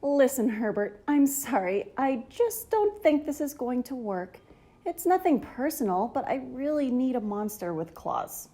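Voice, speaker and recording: A woman's voice: high-pitched, big pitch swings, measured pace, dark tone, exaggerated voice. A woman voicing a picky, forthright young boy in a children's storybook, blunt and full of questions. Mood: apologetic, firm.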